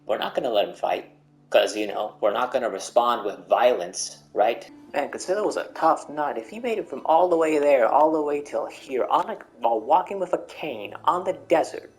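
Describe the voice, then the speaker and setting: A man's voice: mid-range voice, lively intonation, moderate pace. A man doing casual gameplay commentary with joking asides, bantering and repeating himself. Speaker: game streamer.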